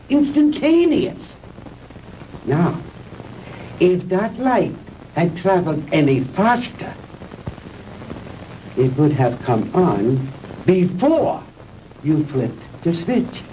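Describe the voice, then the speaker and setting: Slow, pompous mad scientist. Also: male voice, high-pitched, sing-song, dark tone, full character voice. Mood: pompous, lecturing. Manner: pompous, lecturing, theatrical deliberate pauses. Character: proud, vengeful mad scientist from a defeated wartime nation. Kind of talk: 1960s B-movie sci-fi acting. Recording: distant, noisy old 1960s film soundtrack.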